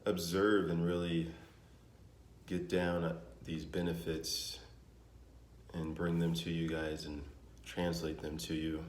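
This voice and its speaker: A young man with a naturally deep voice, talking casually to his vlog audience in a relaxed, rambling way with many fillers. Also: slow, slight background noise. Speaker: vlogger. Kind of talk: casual vlog talk to camera. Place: home in Denver. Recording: echoey room with faint ambience.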